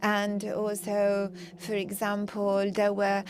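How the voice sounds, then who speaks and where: A woman gives a live interpretation of a conference talk. Her delivery is slow and halting, breaking off mid-sentence for pauses. The pitch stays in a narrow, even band.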